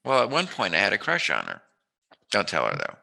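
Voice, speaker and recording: Casting: male interview host, lowish voice with a very sharp, forward edge, informal and rambling. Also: huge pitch swings, fairly quick, creaky. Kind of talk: casual chat interview.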